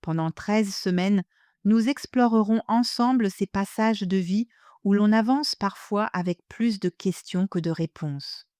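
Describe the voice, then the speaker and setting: An older woman from Germany, a native German speaker, reads scripted podcast narration as host, in a measured, reflective manner, addressing the listener directly. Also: medium pitch, dark tone, clear, resonant tone, no room sound, studio quality. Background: music.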